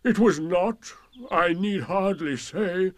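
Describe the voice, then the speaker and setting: Casting: old storybook donkey, pompous and sorry for himself, slow and ponderous, raised swooping pitch. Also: male voice, dry recording. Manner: ponderous, drawn-out, sternly declaiming. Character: gloomy, pompous, self-pitying old male donkey. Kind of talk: storybook character voice.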